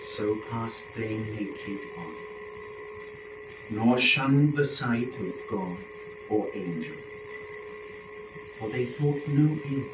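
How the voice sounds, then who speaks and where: A man reciting and narrating epic poetry in a measured, dramatic manner with weighty pauses between phrases. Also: on the low side, expressive, background noise. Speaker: performer and narrator of a poetry reading. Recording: roomy live recording, distant from microphone.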